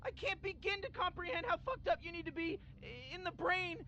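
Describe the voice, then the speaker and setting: A man's voice: very high-pitched, lively intonation, fairly quick, pushed and bright, dull audio, exaggerated voice. An actor voicing an anxious, stammering teenage boy sidekick in a video game, whiny and nervous. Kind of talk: game dialogue.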